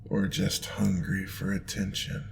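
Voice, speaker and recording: A man playing a smug, indulgent demon lord in an audio roleplay, with a deep gravelly voice and a playful, purring, drawn-out teasing manner. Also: monotone, heavily acted. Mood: amused, coaxing. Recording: long reverb, clear background noise.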